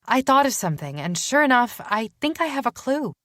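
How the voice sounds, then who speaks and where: A woman voicing a spirited retired female detective who talks in quick, excited, insistent bursts. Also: on the high side, dry recording, clean studio sound, pitched above the performer's own voice. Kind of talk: audiobook character dialogue.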